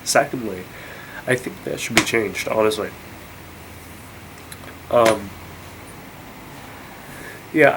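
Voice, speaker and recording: A man's voice: fairly low pitch, background noise. A congested young man vlogging, rambling and incredulous, with many fillers and restarts. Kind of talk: casual rant to camera.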